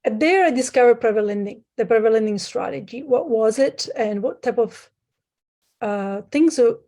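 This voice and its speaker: A woman from Sicily, Italy, speaks as a guest over a remote call. Her voice sits slightly high. She talks casually and stops often to hunt for words, filling the gaps with ums and uhs. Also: normal speed, warm tone. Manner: hesitant with many fillers, plain speech. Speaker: guest, private lender. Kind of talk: casual interview.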